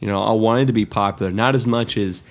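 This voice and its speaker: Laid-back low-voiced hoarse young man. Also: quick pace. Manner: laid-back, slangy, says "dude" and "man". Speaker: podcast host. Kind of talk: casual podcast conversation.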